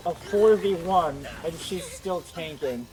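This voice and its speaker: A game streamer giving casual, excitable, slangy reaction commentary during a playthrough. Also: mid-range voice, takes it slowly, poor audio. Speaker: live streamer playing a game. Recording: game music behind the voice.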